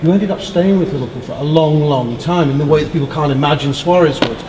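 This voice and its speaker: A man's voice: a little high, quick pace. A man from North London co-hosting an interview, chatty, often stammering and restarting. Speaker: co-host. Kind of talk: casual interview chat. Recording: echoey room with faint noise.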